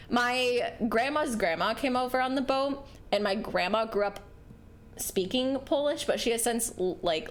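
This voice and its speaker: A young woman from rural New York, chatting casually and rambling with lots of fillers while streaming a game. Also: medium pitch. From rural New York, USA. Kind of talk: casual chat while gaming. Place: home, living in Japan.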